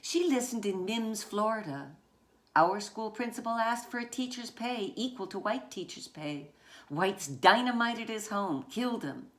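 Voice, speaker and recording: A woman author reading her children's picture book aloud in deliberate short phrases, with dramatic emphasis on key words. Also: mid-range voice, expressive, fairly bright tone.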